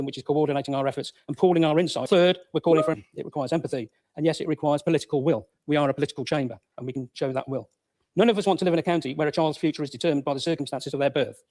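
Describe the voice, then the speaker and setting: A man's voice: fairly high pitch, a little mellow. A man speaking as a local councillor, giving a formal political speech to a council chamber.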